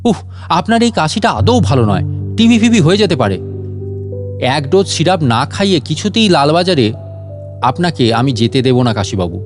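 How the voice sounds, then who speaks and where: A man voicing a sly gentleman inventor with a mock-courteous, teasing manner in a story reading. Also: on the high side, sing-song, normal speed, long pauses, soft background music. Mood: teasing triumph. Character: sly, mock-polite gentleman inventor who traps thieves.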